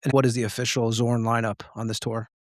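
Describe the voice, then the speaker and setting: A man's voice: medium pitch, flat delivery, fast, no room sound, studio quality. A man hosting an interview in an informal, conversational way, chatting casually with his guest. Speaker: podcast host, interviewer.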